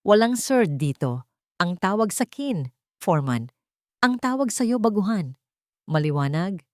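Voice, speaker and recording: A woman's voice: medium pitch, sing-song, unhurried, no echo, clean studio sound. A woman with a Filipino accent voicing a fat, bossy, scornful male construction foreman in a story, curt and commanding. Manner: curt, barking orders. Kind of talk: storybook character voice.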